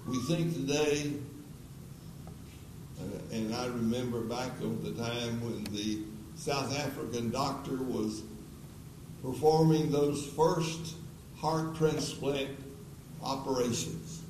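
A man's voice: mid-range voice, steady tone, takes it slowly, pauses often, a little mellow. A man in his eighties preaching the closing of a sermon in a church auditorium, pleading earnestly with repeated questions and leading an altar call. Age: 80s. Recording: echoey auditorium from a distance with noise.

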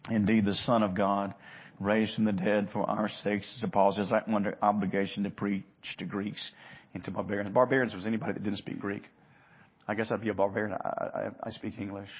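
Male preacher, husky mid-pitched voice, earnest, emphatic, dynamic from hushed to loud, repeats phrases, slightly muffled recording. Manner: rhetorical questions and repeated phrases. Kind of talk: expository sermon.

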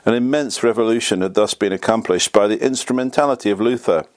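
A slightly hoarse man reading a history book aloud at a steady, measured pace. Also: lowish voice. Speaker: reader.

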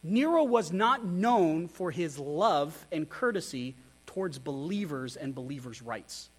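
A man's voice: a little high, animated, measured pace, slightly rough. A man preaching a sermon, candid and self-deprecating, who asks his listeners rhetorical questions. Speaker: pastor.

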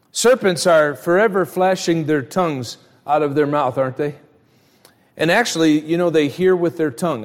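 A man preaching a sermon in a conversational way, often putting rhetorical questions to his listeners. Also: sits high. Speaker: preacher at a church. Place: large reverberant church room, live service. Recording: reverberant hall, live church sermon.

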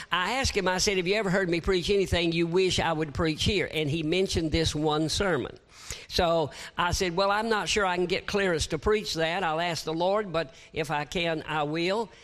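An older man with a shrill tenor voice preaching a sermon in a church, reading scripture and adding folksy asides. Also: a bit bright. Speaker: guest preacher.

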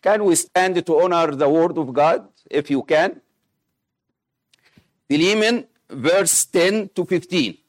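A middle-aged man from Egypt, Arabic-speaking, with an Egyptian accent, preaching and praying in halting English with many pauses and fillers. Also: on the high side. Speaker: missionary preacher. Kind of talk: sermon with scripture reading and prayer.

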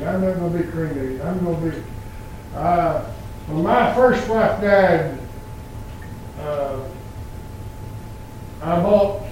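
An old man in his eighties preaching a sermon in a church, quoting scripture in slow, emphatic phrases. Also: a little high, darkish tone, slight background noise. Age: 80s. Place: church sanctuary. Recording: very echoey church from far off.

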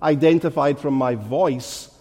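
A man's voice: fairly high pitch, measured pace, dark tone, husky. A man with a Glaswegian Scottish accent preaching a sermon in an earnest, rhetorical manner, leaning on emphatic repetition. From Glasgow, Scotland. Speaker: pastor. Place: large echoing church or hall. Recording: reverberant hall.

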